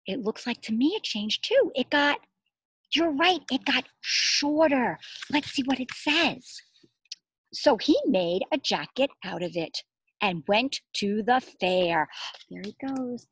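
A middle-aged woman reads a picture book to preschoolers in a high, slightly husky voice that swings up and down with expression. She repeats words for emphasis and checks in with simple questions. The sound is dry and open, recorded outdoors. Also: slow, no room sound. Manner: expressive, addressing small children with simple words and emphatic repetition. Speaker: preschool teacher reading aloud. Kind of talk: children's story read-aloud with explanations and questions. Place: outdoors in a garden.

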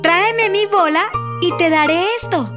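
A woman voicing a spoiled, excitable young princess in a musical storybook cartoon, with a girlish, dramatic delivery. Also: very high-pitched, a little slow, over music, pitched above the performer's own voice. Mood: pleading.

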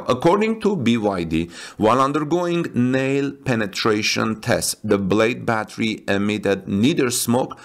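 Low-voiced man reading tech news. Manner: halting, with frequent fillers and restarts. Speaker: tech news channel host. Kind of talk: reading news with casual asides. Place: voice-over over stock footage.

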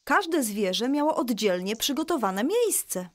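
A woman playing a patient mother who explains a Bible story to children in a children's audio drama, reassuring and clear. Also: on the high side, very animated, unhurried, dry recording. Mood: patient, explaining.

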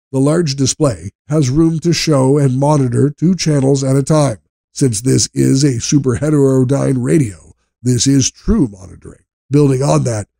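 A man reading a scripted product review as narrator, in a measured, polished and evenly enunciated way. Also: medium pitch, creaky, mellow, dry recording.

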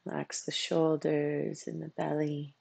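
A meditation coach guiding a practice in a soothing way, with unhurried phrases, long pauses and a husky, gravelly voice. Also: medium pitch, monotone, darkish tone, dry recording. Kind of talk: guided meditation.

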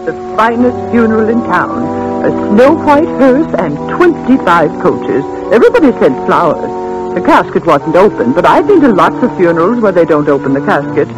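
A woman's voice: lowish voice, big pitch swings, normal speed, low-quality recording. An actress playing a gushing, gossipy small-town woman in a 1940s radio drama. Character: gossipy small-town sister Lizzie, chatty. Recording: old radio recording with music behind.

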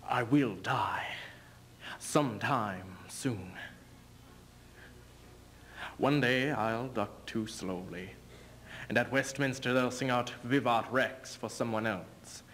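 A young man playing an aging, embattled English king in a theatrical stage reading, bitter and mocking, with deliberate, declamatory speech. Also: medium pitch, a bit bright. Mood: weary, bitter. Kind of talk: theatrical readers theater duo performance. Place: stage in front of curtain. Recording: noisy amateur stage video sound.

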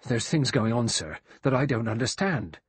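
A man's voice: fairly low pitch, moderate pace, a little mellow, breathy edge, no room sound. Man acting a deferential, apologetic butler. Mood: agitated, pleading. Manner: servile, apologetic. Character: deferential male butler, restrained but easily rattled.

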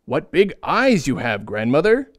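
A man's voice: on the high side, huge pitch swings, measured pace, no room sound, clean studio sound. A man voicing a sweet, trusting little girl in a storybook reading, in a raised sing-song tone. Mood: innocent.

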